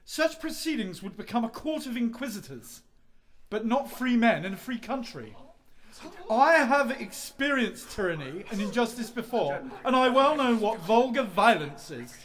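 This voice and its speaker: A man's voice: extremely high pitch, sing-song, normal speed, a bit bright, full character voice. An actor playing an idealistic young male student in a radio play, polite but defiant and articulate.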